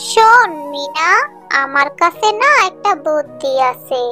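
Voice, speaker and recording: A woman's voice: high voice, animated, a little slow, pushed and bright, voice pushed up, heavily acted. A woman voicing an earnest, childlike little village girl in a children's cartoon story. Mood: indignant. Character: earnest little village girls, sweet-loving young sisters. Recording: music under the voice.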